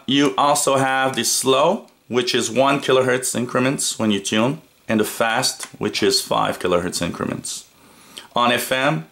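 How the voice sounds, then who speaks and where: A man with a fairly low voice talks through an informal product review. He explains features step by step, pausing with hesitations and often repeating a phrase before going on. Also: fairly bright tone.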